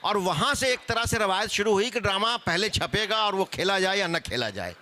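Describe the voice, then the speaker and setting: Guy on a panel explaining stuff like a scholar, high bright voice. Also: lively intonation, normal speed. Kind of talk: spoken panel discussion on theatre history.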